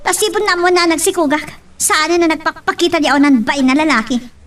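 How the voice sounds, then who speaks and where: A woman's voice: sits high, a little fast, natural voice. A woman playing a whining, defiant grown daughter with an Ilocano accent, protesting in a drama. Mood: defiant, pleading. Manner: pleading, protesting. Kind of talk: Ilocano radio-style drama acting. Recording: distant from the microphone.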